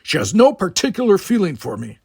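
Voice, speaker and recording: A man's voice: sits high, wildly swooping pitch, brisk, vocal fry, slightly rough, no room sound, studio quality, pitched above the performer's own voice, exaggerated voice. A man voicing a stern, proud young alien warrior cadet who speaks in a stiff, formal, emphatic way. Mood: firm, defiant.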